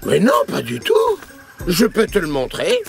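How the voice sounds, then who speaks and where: A man's voice: a little high, huge pitch swings, unhurried, creaky, soft background music, full character voice. A man voicing a sly, witty folk trickster in a cartoon dub, playfully innocent. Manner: playful, innocent-sounding cunning. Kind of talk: cartoon dubbing.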